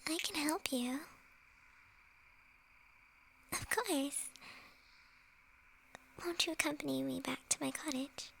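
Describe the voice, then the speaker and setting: A woman voicing a sly, flirtatious witch in an ASMR fantasy roleplay, teasing in a soft, breathy whisper. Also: high voice, noisy background, heavily acted. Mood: coy, inviting. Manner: slow, teasing, with soft chuckles.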